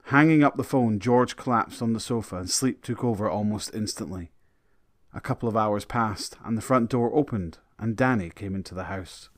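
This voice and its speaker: A man's voice: lowish voice, moderate pace. A man reading scripted dramatic narration for an audio drama.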